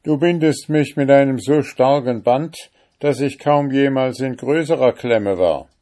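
A smooth, sly devil in a gentleman's guise, speaking slowly and with warning in a raised voice, as a man puts him on. He sounds measured and cunning, a fairy-tale prince of hell. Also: mid-range voice, pitched above the performer's own voice, natural voice. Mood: pleading. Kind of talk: storybook reading.

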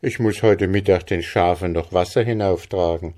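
A man voicing a thoughtful, resourceful young shepherd in a storybook reading, deliberate and reflective. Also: sits low, mellow, natural voice. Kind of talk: fairy-tale storybook reading.

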